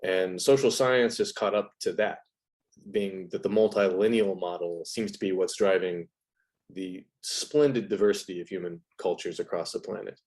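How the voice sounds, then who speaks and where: Man, interview guest, fairly low pitch, conversational explainer, articulate and wordy, academic phrasing. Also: moderate pace, darkish tone. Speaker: interview guest, video essay presenter. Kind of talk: casual explanatory interview talk.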